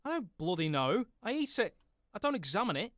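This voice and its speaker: Man acting as high-voiced, blunt submarine crewman. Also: very animated, brisk, fairly bright tone, no echo, studio quality. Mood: grumbling, casual. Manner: blunt, informal. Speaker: actor in an audio drama. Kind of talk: radio drama acting.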